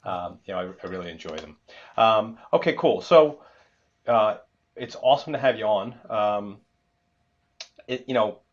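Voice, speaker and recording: Podcast host, man, low voice, easygoing and chatty, muffled lo-fi sound. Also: expressive, normal speed, long pauses. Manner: easygoing, chuckles and stutters on starts. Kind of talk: casual interview hosting.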